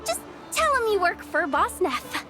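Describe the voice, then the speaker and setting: Harried young female event organizer, game voice, very high pitch, animated, slangy, stammering, music behind. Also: a little slow, full character voice. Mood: anxious, warning. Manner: casual slangy speech with stammers and sighs. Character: frazzled busy young female expo organizer. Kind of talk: video game voice acting.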